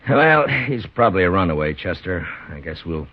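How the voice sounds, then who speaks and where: An actor playing a steady, weary American frontier marshal, firm, patient and dry, in a 1950s radio western. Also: male voice, low-pitched, normal speed. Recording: dull, muffled 1950s radio recording.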